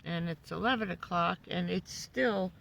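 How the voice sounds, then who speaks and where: A hoarse woman who says she is losing her voice, giving a loose, chatty van-life update full of fillers. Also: on the low side, measured pace, a bit bright, rough recording. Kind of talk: casual rambling live update. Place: living in a van.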